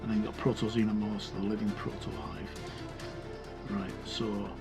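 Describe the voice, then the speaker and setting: A man's voice: fairly low pitch, little pitch movement, normal speed, gravelly. Hesitant man talking over background music. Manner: hesitant, rambling, self-correcting. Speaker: reviewer presenting a tabletop game sourcebook. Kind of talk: casual unscripted book walkthrough commentary.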